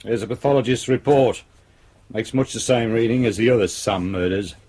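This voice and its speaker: A man's voice: on the low side, moderate pace, a little mellow, breathy edge. A man acting in a radio drama as a domineering senior police detective, brusque and authoritative. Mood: brisk, businesslike.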